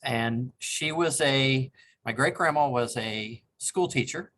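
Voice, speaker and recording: A man's voice: mid-range voice, slow, bright, forceful tone, a little hiss or hum. A man in his sixties from Cincinnati, Ohio, a reference librarian, telling his family history in a polite, reflective way with frequent ums. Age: 60s. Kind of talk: oral history interview.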